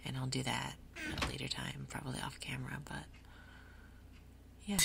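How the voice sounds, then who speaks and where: A woman hosting a gaming video in a soft, breathy ASMR whisper, explaining things gently and without hurry. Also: a bass voice, little pitch movement, normal speed, very noisy. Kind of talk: whispered ASMR game commentary.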